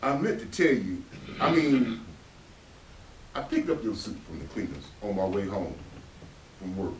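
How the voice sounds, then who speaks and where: Man acting gruff Southern father, echoey and lively. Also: on the low side, a little slow, slight background noise. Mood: tender, awkward. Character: proud working-class father, gruff, carrying old grief. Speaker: actor in readers theatre. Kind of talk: staged play reading. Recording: echoey room from far off.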